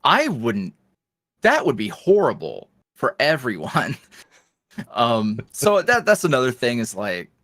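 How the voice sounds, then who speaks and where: A man's voice: mid-range voice, wildly swooping pitch, a little slow, a bit bright, no echo, studio quality. A man chatting casually as a podcast guest, in a folksy, self-deprecating and jokey manner. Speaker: interview guest, online audio roleplay creator.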